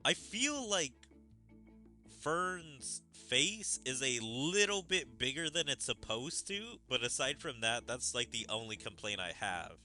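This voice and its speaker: A man's voice: medium pitch, animated, unhurried, sharp tone, soft background music. A man giving casual, rambling commentary as a gaming streamer, with opinionated asides.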